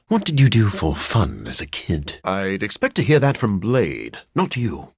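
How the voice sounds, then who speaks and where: A brooding, learned male vampire from a video game. His low voice is husky and moves expressively up and down, with formal, articulate phrasing and dry wit. The sound is close and dry. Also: no room sound, heavily acted. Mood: wry, reflective. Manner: articulate, formal, dryly witty. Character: sophisticated, bookish living vampire scientist, dry and brooding. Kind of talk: video game character voice lines.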